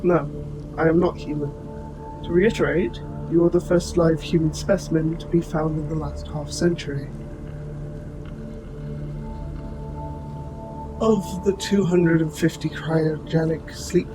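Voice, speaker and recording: Guy voicing a kind protective robot soldier, male, talks formal and exact, slightly high voice, music playing behind him, audio roleplay. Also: lightly acted, low-quality recording. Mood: solemn, apologetic. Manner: formal, precise, measured wording. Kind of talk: scripted audio roleplay, addressing the listener.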